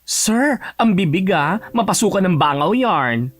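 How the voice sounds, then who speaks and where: A man's voice: high-pitched, big pitch swings, measured pace, full character voice. A man playing a flamboyant, sassy stylist friend who encourages theatrically in an audio drama. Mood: teasing. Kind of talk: Tagalog audio drama acting.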